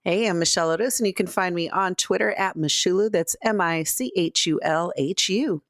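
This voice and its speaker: Low-pitched woman playing a tabletop game. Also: brisk, dry recording, studio quality. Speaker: tabletop roleplaying player. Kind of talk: casual game chat.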